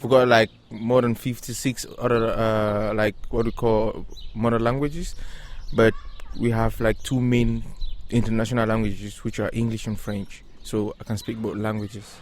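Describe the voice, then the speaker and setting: A man's voice: lowish voice, little pitch movement, measured pace. A young man with a Cameroonian accent giving casual interview answers outdoors at a playground. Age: young adult. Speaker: interviewee, German learner. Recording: faint outdoor background noise.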